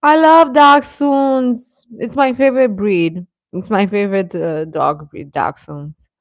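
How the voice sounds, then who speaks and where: A woman talks informally as she hosts a live chat. Her delivery is unhurried and halting, and she often repeats short phrases as she answers comments. Her pitch is middling and the sound is dry, with little room echo. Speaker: livestream host chatting with viewers.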